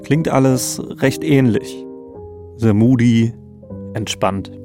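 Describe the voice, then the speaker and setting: Male podcast co-host with noticeable vocal fry, mid pitch, telling a scripted story conversationally. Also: slow. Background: faint background music.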